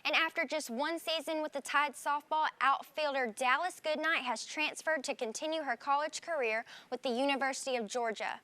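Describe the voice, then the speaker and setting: A woman anchoring a sports update, reading scripted news in a crisp, steady newscaster delivery. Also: high voice, normal speed, few pauses, no room sound.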